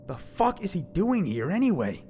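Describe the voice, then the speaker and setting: A young man playing a brash, foul-mouthed high school boy with a grudge in an audio drama, scornful and emotive. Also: sing-song, moderate pace, mellow, a little hiss or hum. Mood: panicked, angry.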